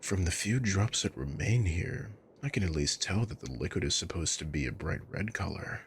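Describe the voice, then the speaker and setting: A man playing a coolly mocking, possessive villain in an ASMR roleplay, with a husky, breathy voice and a deliberate, menacing drawl. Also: sits low, a bit bright, slight background noise, exaggerated voice. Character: sadistic male villain, coolly mocking, possessive, taunting his captive. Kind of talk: ASMR roleplay audio drama.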